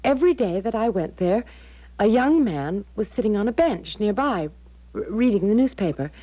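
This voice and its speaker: An actress as a young American woman in an old radio play, torn and easily flustered. Her voice sits at an ordinary female pitch, halting and stammering. The sound is dry and slightly dull, like a seventies broadcast. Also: expressive, dry recording, close to an everyday voice. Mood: confiding. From American Midwest. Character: hesitant, torn young engaged American woman seeking advice. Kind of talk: 1970s radio drama acting.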